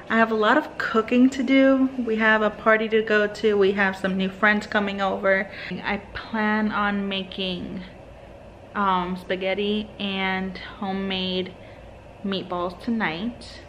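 Slow, chatty young woman vlogging at home. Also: medium pitch, a little hiss or hum. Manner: chatty, talking to camera at arm's length; sing-song baby talk to her toddler. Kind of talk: casual home cooking vlog. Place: home kitchen and living room, indoors. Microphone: handheld camera at arm's length.

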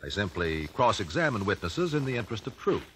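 An actor playing an earnest, composed American investigator, speaking articulately in old-time radio drama style. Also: male voice, fairly low pitch, sing-song, fairly quick, fairly bright tone. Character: earnest, measured gentleman investigator, the hero. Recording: noisy vintage radio recording.

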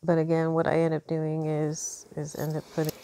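A woman's voice: deep voice, monotone, normal speed, mellow, dry recording. Congested woman chatting over beading. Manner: chatty, thinks aloud with many ums. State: congested from allergies. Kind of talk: casual crafting tutorial. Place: indoors at a craft table.

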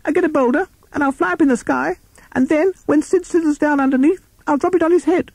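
An excitable storybook bat, squeaking far up in falsetto range. The voice is eager and simple-minded, like a small animal bursting to share. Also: male voice, fast, no echo. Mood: excited, eager. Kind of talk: children's animal storybook character.

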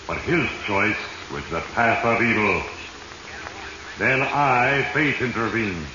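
An actor playing sinister, all-knowing Fate, narrating solemnly and portentously in a 1940s radio drama. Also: male voice, lowish voice, slow. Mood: solemn, ominous. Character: sinister, all-knowing Fate reading his diary of mortals. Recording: echoey, muffled, noisy old radio.

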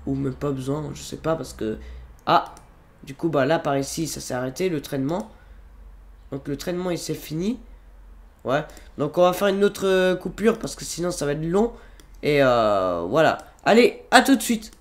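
A young man with a cold talks through a home computer tutorial, pitched slightly high. He rambles, restarts his sentences and fills pauses constantly, recorded on a computer microphone. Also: lively intonation. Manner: rambling, many fillers and restarts. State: has a cold. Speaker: tutorial youtuber. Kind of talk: casual screen-recorded tutorial.

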